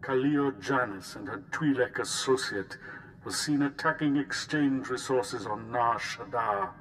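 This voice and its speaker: Man acting a forceful, menacing interrogator. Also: a little slow, close to an everyday voice. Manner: commanding, threatening. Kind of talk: video game voice acting. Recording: noisy, rumbling background.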